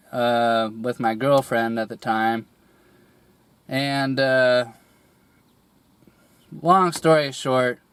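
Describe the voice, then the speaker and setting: A young man getting over a cold, his voice a little raspy, telling a personal story slowly with many pauses, fillers and throat clearing. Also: mid-range voice, fairly bright tone. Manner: hesitant, rambling, many fillers and restarts, clears throat often. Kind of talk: candid personal monologue.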